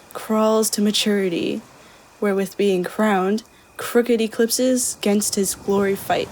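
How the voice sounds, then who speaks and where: A young woman performing a reflective dramatic monologue in verse, with measured delivery. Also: medium pitch, darkish tone, a little hiss or hum, natural voice, low-quality recording. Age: young adult.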